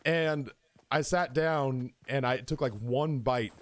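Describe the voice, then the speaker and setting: A man streaming games who tells comic stories casually, in an animated, exaggerating and profane way. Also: medium pitch, takes it slowly. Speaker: game streamer. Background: music in the background.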